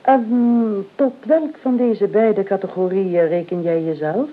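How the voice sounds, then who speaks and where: An actress playing a sardonic, calculating older aunt in a Dutch radio play, speaking in a measured, dry way and drawing out key words. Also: female voice, medium pitch. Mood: dry, pointed. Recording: tinny, band-limited old radio recording, clear noise.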